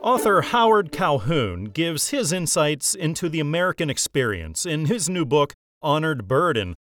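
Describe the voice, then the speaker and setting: A man's voice: on the high side, big pitch swings, normal speed, fairly bright tone, faint music behind. A man hosting an author interview show in a polished radio style, with an upbeat announcer's delivery.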